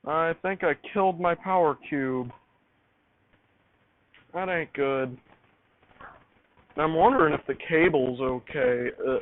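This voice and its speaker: A man's voice: on the high side, creaky. A man talking casually and off the cuff as he fiddles with gear, muttering to himself and repeating his phrases. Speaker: amateur vlogger showing home radio studio. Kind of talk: casual improvised talk while troubleshooting gear. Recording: tinny phone-like band, hissy background noise.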